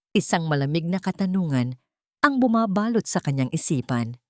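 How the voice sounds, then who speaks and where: A woman narrates a dramatic romance story from a script. Her voice sits a little low for a woman. The sound is dry, with no room echo. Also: a little mellow.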